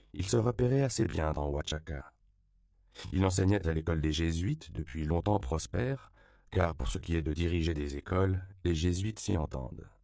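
A man reading a novel aloud as an audiobook narrator, in a calm, measured way. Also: low-pitched, creaky, no room sound, studio quality.

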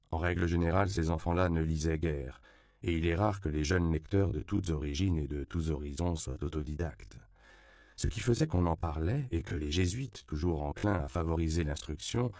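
Male audiobook narrator, very low and gravelly, dark mellow tone, dry close recording. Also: no echo. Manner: calm, measured reading.

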